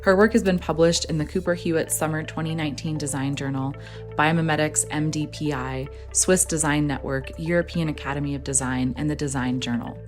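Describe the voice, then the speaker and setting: Low-voiced upbeat woman host. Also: fairly even intonation, brisk, faint music behind. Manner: upbeat, affirming. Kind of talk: reading an introduction, then interviewing. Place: outdoors in a desert botanical garden.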